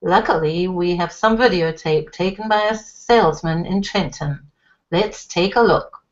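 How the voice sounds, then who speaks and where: A woman's voice: fairly low pitch, measured pace, close to an everyday voice. A woman voicing a formal male TV news anchorman with a stiff, announcer-like delivery, on a slightly dull lo-fi recording. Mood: grave.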